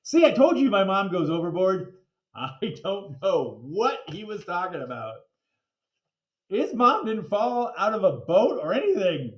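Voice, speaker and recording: Male children's book reader: very expressive swings in pitch, animated narration, roomy sound. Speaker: story reader.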